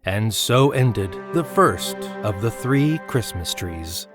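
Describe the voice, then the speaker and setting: A man narrating a Christmas story in an audio drama, with measured, expressive literary delivery. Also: fairly low pitch. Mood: measured wonder. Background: music under the voice.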